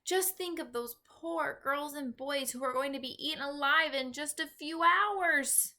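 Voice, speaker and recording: A woman voicing an excitable, determined young orphan girl with emphatic, urgent delivery in a children's storybook. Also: high voice, measured pace, voice pushed up. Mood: desperate, pleading. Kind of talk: children's storybook read-aloud.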